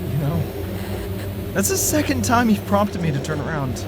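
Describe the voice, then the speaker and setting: Defiant, shaken conspiracy theorist, played by a man, high-pitched, over steady background noise. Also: normal speed. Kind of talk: audio drama acting.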